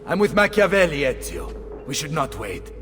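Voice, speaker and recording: A man's voice: a little high, sing-song, moderate pace. A man playing a boisterous middle-aged Italian mercenary captain in a video game, with an Italian accent and a hearty, bombastic delivery. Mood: gruff, determined. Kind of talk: video game dramatic acting. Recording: long reverb under loud game music.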